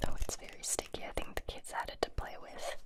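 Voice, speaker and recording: A woman whispering close to the mic for ASMR, congested from a cold, with soft trailing phrases. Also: fast talker. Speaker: ASMR creator. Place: home room with string lights. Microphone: close binaural mic.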